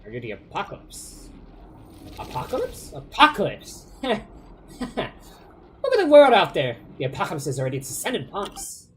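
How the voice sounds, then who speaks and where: A man voicing a grandiose, vengeful spirit that guards a ruined bunker, reading its lines in a slow, theatrical, declamatory way. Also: fairly high pitch, huge pitch swings, a bit bright, slight background noise. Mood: suspicious, then bitter.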